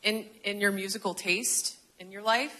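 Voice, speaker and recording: A woman's voice: mid-range voice, fairly even intonation, moderate pace, sharp tone. A woman hosting a radio interview on stage, speaking into a handheld microphone. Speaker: radio host interviewing a band. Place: theater stage.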